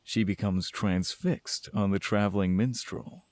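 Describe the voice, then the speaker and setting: A man's voice: fairly low pitch, steady tone, unhurried, darkish tone, no room sound. A man narrating a scripted fantasy audio drama as storyteller.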